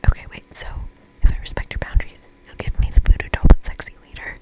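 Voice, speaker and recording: A woman's voice: fast talker, close to an everyday voice, low-quality recording. A spoiled, scheming male monster, played by a woman pleads and demands in a dramatic whisper. He is a pushy video game character who badly wants his way. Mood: eager.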